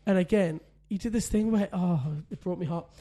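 Mellow-voiced dad chatting. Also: male voice, a little fast. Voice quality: says he has a deep voice. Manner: chatty storyteller, laughs often. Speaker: podcast co-host. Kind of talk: casual chat.

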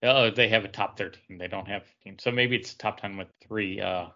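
A man's voice: fairly low pitch, fairly even intonation, normal speed, sharp tone, dull audio. A man co-hosting a podcast, talking casually with friends. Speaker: podcast co-host. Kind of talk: casual chat, reading out a list.

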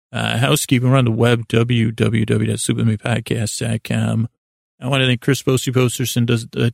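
A man hosting a sleep podcast, talking in a gentle, meandering, halting way that keeps interrupting itself, close on the microphone. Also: medium pitch, no room sound. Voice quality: creaky, gravelly. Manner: meandering, halting, self-interrupting with false starts. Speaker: podcast host. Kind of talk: rambling bedtime monologue to help listeners sleep.

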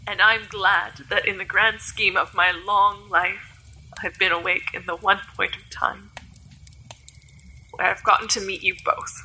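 Weary, bright-voiced vampire-elf woman. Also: medium pitch, little pitch movement, a little slow, rough recording. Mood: resigned, sad. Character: ancient sickly vampire-elf hybrid woman, weary, resigned, wry. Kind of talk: audio drama acting.

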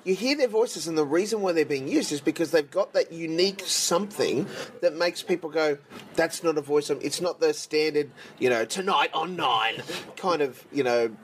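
A man's voice: on the high side, expressive, moderate pace. Man, teasing interviewer, casual chat, faint noise. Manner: joking, teasing. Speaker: podcast host, interviewer.

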